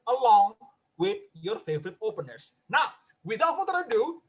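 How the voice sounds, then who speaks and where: A man hosting a live stand-up comedy open mic in an excitable, animated way. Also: high voice, moderate pace, breathy edge. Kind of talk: live comedy show emceeing.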